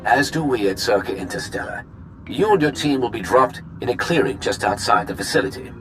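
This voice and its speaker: An actor playing a guarded, dry mission commander in a sci-fi video game. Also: male voice, medium pitch, expressive, a little fast, a bit bright, faint music behind, lightly acted. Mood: grave, businesslike. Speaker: mission briefing officer. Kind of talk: video game cutscene acting.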